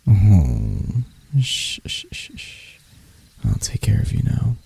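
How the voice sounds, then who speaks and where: A man voicing a deranged, seductive spider vampire in an intimate ASMR roleplay, soft and breathy, teasing and theatrical, doting yet menacing. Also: on the low side, very animated, heavily acted. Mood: tender, possessive. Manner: slow, teasing, theatrical, with dark chuckles.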